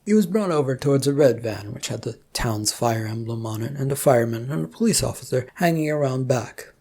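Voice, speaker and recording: Story narrator, mid-pitched, mellow, husky, scripted fiction reading. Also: lively intonation, a little fast.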